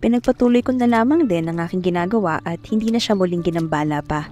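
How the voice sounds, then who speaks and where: A woman's voice: fairly low pitch, fast talker, mellow, soft background music, natural voice. A young woman playing a shy, hardworking farm girl who narrates her own story in a radio drama, polite and earnest. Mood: earnest, reflective. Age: young adult. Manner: polite, hesitant when addressing others. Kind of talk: first-person radio drama narration and dialogue.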